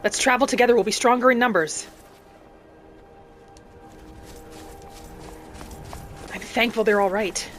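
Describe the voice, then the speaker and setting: A woman voicing a vengeful young orphaned vampire hunter, narrating a video game in character with earnest drama and breathy asides. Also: medium pitch, background noise, natural voice. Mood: urgent concern. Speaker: roleplay gamer narrating in character. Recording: music behind the voice. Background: game music.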